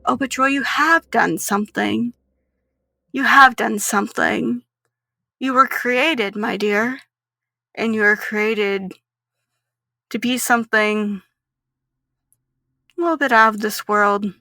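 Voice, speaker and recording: A woman's voice: a little high, takes it slowly, creaky, pauses often, sharp tone, dry recording, clean studio sound, full character voice. A woman voicing a cruel female scientist villain in a tabletop game, condescending and mock-sweet, drawing out her taunts. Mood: menacing, taunting.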